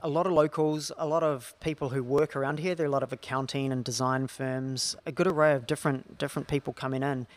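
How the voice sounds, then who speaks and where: A man's voice: mid-range voice, steady tone, quick pace, hardly any pauses. A young man with a New Zealand accent, co-founder of a distillery, chatting at length in an interview and stringing ideas together with "so" and "I guess.". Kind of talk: relaxed interview talk.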